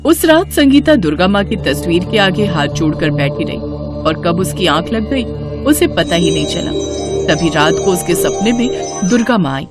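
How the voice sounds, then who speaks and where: A woman's voice: lowish voice, sing-song, moderate pace. A woman reading a scripted moral story as a storyteller. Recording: music under the voice. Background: background music.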